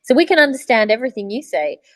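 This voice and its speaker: A woman's voice: a little high, big pitch swings, fast, no room sound. Australian woman chatting, close dry sound. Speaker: podcast co-host, voice actor. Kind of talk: casual podcast chat.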